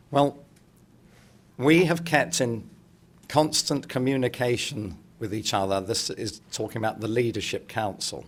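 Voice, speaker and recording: A middle-aged man with a croaky, hoarse voice opening a conference in a hotel meeting room, chatty and joking with the audience. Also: mid-range voice. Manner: chatty, jokey, addresses the room directly. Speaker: speaker opening a political congress.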